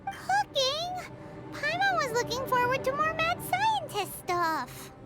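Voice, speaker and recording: Squeaky, extremely high-pitched little fairy companion. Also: female voice, big pitch swings, takes it slowly, full character voice. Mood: indignant. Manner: perky, cheeky, speaks of herself in third person. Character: tiny childlike female fairy companion, cheeky, excitable, food-loving. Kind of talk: scripted game voice acting. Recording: loud music behind the voice.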